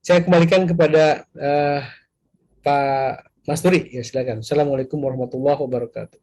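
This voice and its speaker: A man's voice: a little high, steady tone, a little fast, dark tone, a little hiss or hum. A man with a cold giving an Islamic study lecture over an online call, asking rhetorical questions and dropping "ya" between phrases. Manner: frequent filler "ya", rhetorical questions. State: has a cold. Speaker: Islamic teacher.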